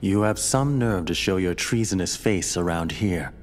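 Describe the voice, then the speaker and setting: An actor playing a cold, scheming villain lord in a video game, haughty and formal. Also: male voice, sits low, expressive, very noisy. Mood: cold, accusing. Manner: haughty, formal, measured menace. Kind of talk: video game cutscene acting. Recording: long reverb, music behind.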